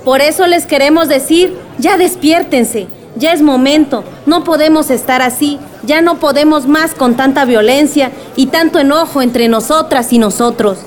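A woman's voice: high voice, normal speed, few pauses, close to an everyday voice. A woman playing a resolute villager speaking up for her community in a radio drama, earnest and insistent. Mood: urgent, firm.

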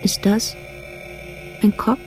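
An actress playing an uneasy woman drawn into a detective's nightmare in a radio play, speaking in halting fragments. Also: a little mellow, soft background music, natural voice, poor audio. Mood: dread, disbelief. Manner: halting, short fragmented phrases.

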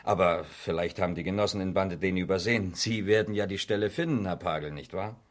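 A man's voice: low voice, fairly quick, lightly acted. A man acting a sceptical, needling police detective in a 1970s East German radio crime drama, dry and accusing. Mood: sardonic. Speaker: actor.

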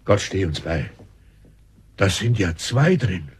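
A flustered, obedient working man in a radio play. His low voice is heavily rasping and moves up and down in lively swings. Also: slow pace, full character voice. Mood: startled. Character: flustered, obedient male workman, easily startled. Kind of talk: German radio drama acting.

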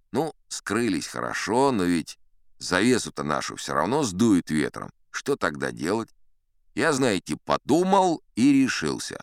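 A man's voice: deep voice, dry recording, studio quality, full character voice. A man playing an old sea captain who tells tall tales in a sly, folksy, conversational way, as in a dramatized audiobook. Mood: boastful, animated. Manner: conversational, sly, leisurely, addressing a young listener.

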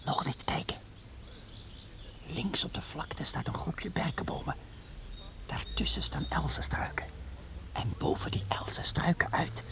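An actor playing a watchful, bossy young adventurer in a radio drama, with a wary, conspiratorial way of talking. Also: male voice, a little high, a little slow, creaky, pauses often, whispered. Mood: hushed, watchful. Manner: conspiratorial, hushed, giving short orders.

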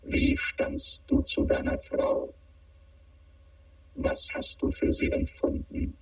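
Very high, monotone alien questioner. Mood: detached, probing. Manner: slow, monotone, probing questions. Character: alien intelligence probing human feelings, detached questioner. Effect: high synthetic voice filter, radio-like. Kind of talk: radio play acting. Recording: muffled, band-limited radio sound.

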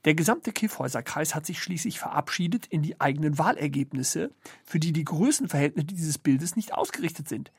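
A woman's voice: deep voice, expressive, brisk, darkish tone, slightly rough, dry recording, heavily acted. A woman playing a sardonic, bureaucratic commentator in a literary radio play, with formal, ironic wording.

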